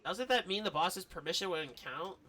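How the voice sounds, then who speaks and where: Bright, expressive woman streaming a video game. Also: fairly low pitch, normal speed. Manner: expressive, swears casually. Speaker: streamer playing and reading out a game. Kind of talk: gaming commentary, reading dialogue aloud. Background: faint video game music.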